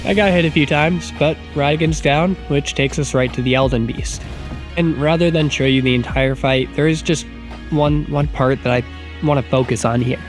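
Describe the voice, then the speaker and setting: A man's voice: a little high, steady tone, normal speed, music in the background. A man with a stuffy, nasal voice from a cold, chatting casually and self-deprecatingly as he narrates a video game run over his footage. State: getting sick, congested. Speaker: gaming YouTuber. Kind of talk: casual gameplay commentary voice-over.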